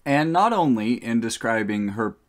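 A man's voice: mid-range voice, expressive, moderate pace. A man giving opinionated, informal, off-the-cuff voice-over commentary.

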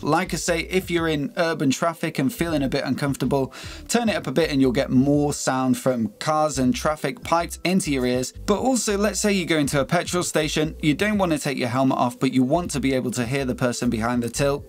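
A British man in his thirties giving a conversational, explanatory product review to camera as a motorcycle gear reviewer. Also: a little high. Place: small home office, indoors.

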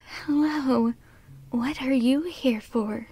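A woman voicing an indifferent cartoon hospital receptionist with a deadpan drawl. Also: sits high, drawn-out words, breathy edge, full character voice. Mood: bored, indifferent.

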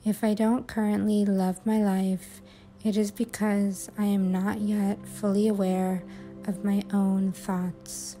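A woman guiding a sleep hypnosis and meditation in a soothing, unhurried, measured way. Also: medium pitch, little pitch movement, mellow. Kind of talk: guided sleep hypnosis, affirmations. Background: music.

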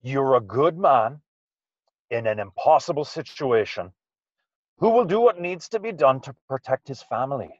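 A man's voice: medium pitch, expressive, normal speed, lightly acted. A man playing a loyal, folksy sidekick in a period radio mystery, speaking colloquially, with emphatic encouragement. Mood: firm, reassuring. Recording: dull, echoey video call sound.

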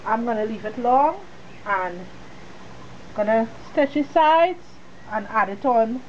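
A fairly high-voiced woman with a cold gives a casual step-by-step sewing tutorial. She talks straight to the viewer, chatty and easygoing, with a faint hum of room noise behind her. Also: lively intonation, slow, a little mellow, muffled recording. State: has a cold, sniffling.

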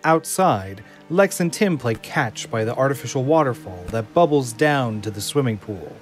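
Steady male narrator reading stage directions. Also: mid-range voice, normal speed, soft background music.

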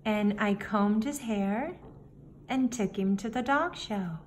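Female story reader for young kids, very unhurried and deliberate, recorded indoors with slight background noise. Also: medium pitch, a little mellow. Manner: deliberate, simple phrasing with pauses between phrases. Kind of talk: picture book read-aloud for young children. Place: indoor room, book filmed on a table. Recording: faint room noise behind the voice.

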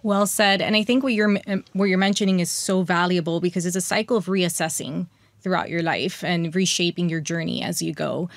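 A young adult woman hosting a podcast, articulate and smooth as she sets up questions for guests. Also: on the low side, brisk, no room sound. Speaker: podcast host. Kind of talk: podcast interview.

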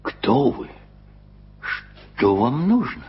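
A gruff old journalist. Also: male voice, medium pitch, very animated, exaggerated voice. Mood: grumbling, puzzled. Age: older. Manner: grumbling, muttering, quick exclamations. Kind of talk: 1980s radio play acting. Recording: dull lo-fi old radio recording.

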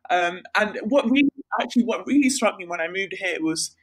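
A young woman from Sri Lanka, a healthcare researcher, answering interview questions in a bright, chatty way with many pauses and restarts. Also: mid-range voice, expressive, slightly rough, low-quality recording. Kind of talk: casual interview.

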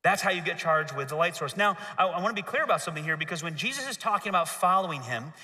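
A man's voice: fairly high pitch, racing. A man in his thirties giving a children's sermon on a church stage, asking the audience to repeat after him. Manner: lively, gestures and asks the audience to repeat. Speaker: pastor. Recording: hall reverb.

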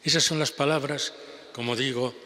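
An older man with a Spanish accent preaching a homily at a lectern, slow and measured with pauses. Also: mid-range voice, fairly even intonation, sharp tone. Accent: Spanish (Spain). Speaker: priest. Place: large church basilica. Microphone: lectern gooseneck mic. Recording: long church reverb.

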